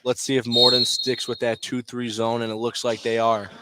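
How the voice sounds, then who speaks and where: A man doing live play-by-play basketball commentary in clipped phrases, with excitable calls on big plays. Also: mid-range voice, normal speed, heavy background noise. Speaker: play-by-play sports announcer. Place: courtside at a basketball game.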